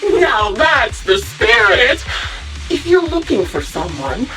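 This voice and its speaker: A woman voicing a sadistic, playful enemy sniper in a video game, with a mocking, theatrical, drawn-out delivery. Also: on the high side, big pitch swings, pushed and bright, far from the mic, faint music behind, full character voice. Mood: taunting, amused. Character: sadistic, playful female enemy sniper taunting her prey. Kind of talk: video game villain acting.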